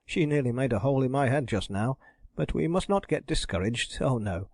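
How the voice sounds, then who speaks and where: A man's voice: medium pitch, lively intonation, brisk, a little mellow, no room sound, studio quality. A man playing a cheerful, kindly butler. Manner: cheerful, encouraging.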